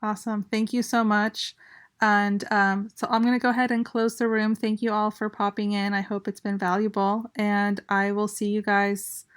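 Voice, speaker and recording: A woman hosting an online audio chat, measured and friendly, with fillers. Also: mid-range voice, level tone, clear, resonant tone. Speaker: host.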